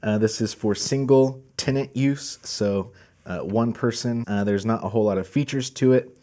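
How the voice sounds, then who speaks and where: Guy hosting a casual unboxing vid, lowish mellow relaxed voice. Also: moderate pace. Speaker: host of a product channel. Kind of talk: casual product unboxing presentation.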